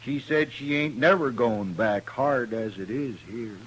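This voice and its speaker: A man's voice: medium pitch, measured pace, fairly bright tone, slightly rough, low-quality recording. An actor playing a stubborn, feuding hillbilly gunman with a blunt rural American drawl in an old-time radio western. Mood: grudgingly friendly. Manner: drawling, blunt backwoods talk. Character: stubborn feuding hillbilly man, long-legged gunman with rigid scruples.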